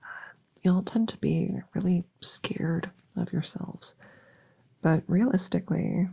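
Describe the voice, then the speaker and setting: Friendly female witch vet, acted by a woman for ASMR roleplay: mellow, unhurried, gentle, goofy, halting and reassuring. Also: fairly low pitch, exaggerated voice. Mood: casual, explaining. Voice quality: soft, breathy, often whispering, with creak. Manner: gentle, chatty, halting, teasing. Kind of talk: soft ASMR audio roleplay.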